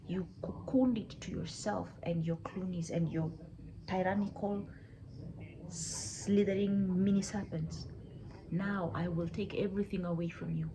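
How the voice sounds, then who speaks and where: A woman storyteller voicing a stern god of the land who pronounces judgment slowly and solemnly. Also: mid-range voice, lightly acted, poor audio. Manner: slow, deliberate, solemn pronouncements.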